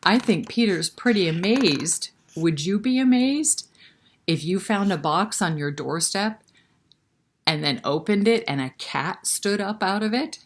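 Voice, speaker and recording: A woman's voice: mid-range voice, lively intonation, unhurried. A woman hosting a library story time, reading a picture book expressively and chatting to young listeners with questions. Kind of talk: picture book read-aloud with asides to children.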